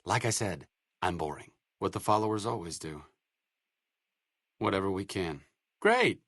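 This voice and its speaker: A dry, sarcastic wasteland doctor. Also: male voice, low voice, animated, a little slow, a bit bright, dry recording, full character voice. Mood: wry. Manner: dry, sarcastic, self-deprecating wit. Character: sardonic bookish male wasteland doctor, adult. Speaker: game companion character. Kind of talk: video game voice acting.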